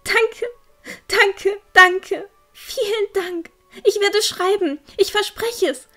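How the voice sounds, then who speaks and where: Very high-voiced earnest village girl played by a woman. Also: slow pace, sharp tone, exaggerated voice. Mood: grateful, emotional. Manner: eager, heartfelt, emotional. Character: earnest, affectionate teenage village girl leaving home. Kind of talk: fantasy audio drama acting.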